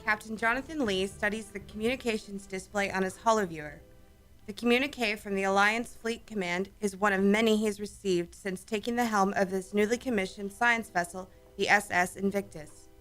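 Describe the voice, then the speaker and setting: A woman's voice: medium pitch, fairly quick, fairly bright tone, faint music behind, rough recording. A woman reading scripted narration for a radio drama.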